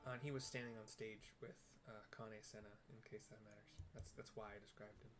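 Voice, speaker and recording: A man's voice: on the low side, steady tone, fast, over music. Casual man describing a game scene. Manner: casual, with frequent ums and restarts. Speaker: audio describer and narrator. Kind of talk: casual audio description over gameplay.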